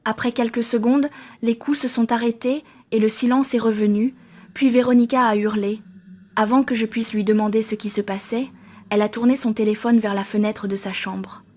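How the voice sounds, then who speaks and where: A woman reading a first-person horror story in a steady, even storytelling manner. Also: fairly high pitch, normal speed. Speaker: narrator.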